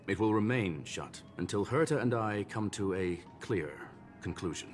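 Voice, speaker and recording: An actor voicing a learned, formal gentleman robot in a video game, with courteous, measured phrasing. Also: on the low side, animated, natural voice. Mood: calm, explanatory. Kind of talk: scripted video game dialogue. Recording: game music behind.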